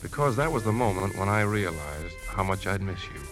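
A man playing a brooding, secretly scheming leading man in a 1950s radio drama, speaking in a measured, confiding way. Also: sits low, expressive. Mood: earnest, reassuring. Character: brooding man secretly plotting to poison a woman. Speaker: lead actor and narrator. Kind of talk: 1950s radio drama, first-person narration and dialogue. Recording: vintage 1950s radio with music.

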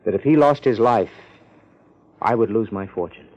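Man acting an earnest, low-voiced young heir. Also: darkish tone, natural voice. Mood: solemn, earnest. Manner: formal, earnest, measured. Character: earnest, subdued twenty-one-year-old male millionaire heir. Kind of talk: 1950s radio drama acting. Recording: muffled 1950s radio recording.

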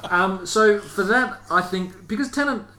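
A man's voice: sits high, measured pace, bright, forceful tone. A man hosting a casual chat podcast, rambling and hesitant as he talks. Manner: rambling, hesitant, chuckles while reading aloud. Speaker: podcast host. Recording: echoey room with faint hiss.

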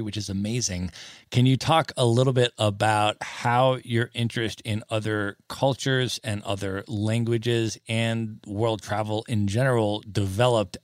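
A man's voice: on the low side, no echo, studio quality. A man hosting a podcast interview, asking questions in an enthusiastic, friendly way. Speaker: podcast host. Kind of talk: interview questions, casual chat.

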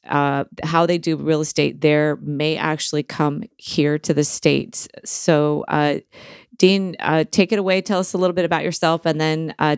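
A woman's voice: low-pitched, clean tone, no room sound, studio quality. A woman hosting a podcast, introducing her guest and asking curious follow-up questions. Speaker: podcast host. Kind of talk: casual interview.

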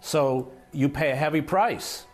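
A man who was a foreign correspondent, speaking in an interview in a forceful, indignant way. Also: fairly high pitch, measured pace, soft background music.

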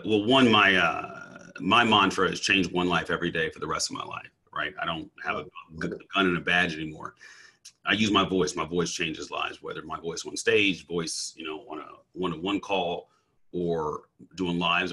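A man talking casually and energetically in an interview about his work as a coach and speaker, with a deep voice and frequent restarts and stammers. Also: moderate pace, sharp tone, breathy edge. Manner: slangy, says "bro".

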